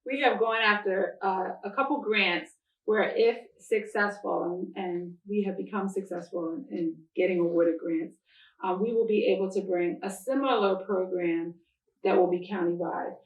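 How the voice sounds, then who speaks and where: Woman prosecutor doing formal public remarks, measured n deliberate, roomy echo, bit far from the mic. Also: mid-range voice. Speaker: county prosecutor. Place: church interior. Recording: echoey room, distant microphone.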